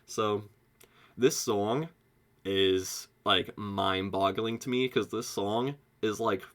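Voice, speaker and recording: A young American man giving a casual, excitable album review, slangy and sweary, saying "like" constantly. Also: on the low side, slow pace. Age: young adult. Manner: excitable, slangy, heavy use of "like", frequent swearing. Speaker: music reviewer.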